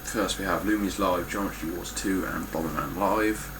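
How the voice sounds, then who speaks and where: A man's voice: low-pitched, fairly even intonation, moderate pace, background noise. A man with a stuffy, nasal voice giving a casual, blunt spoken review of his game collection, with frequent ums and some swearing.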